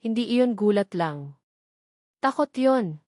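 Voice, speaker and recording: A woman voicing a worried wealthy man who speaks softly but directly in a storybook reading. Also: medium pitch, lively intonation, takes it slowly, dry recording, clean studio sound. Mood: pressing.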